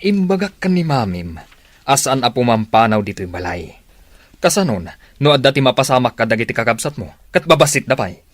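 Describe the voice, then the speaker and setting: A man's voice: medium pitch, big pitch swings, brisk, no room sound, lightly acted. Radio drama husband male actor: Ilocano accent, controlling and bossy, very swingy intonation, dry studio sound. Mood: stern, questioning. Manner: bossy, insistent. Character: gruff, controlling, stingy husband and father.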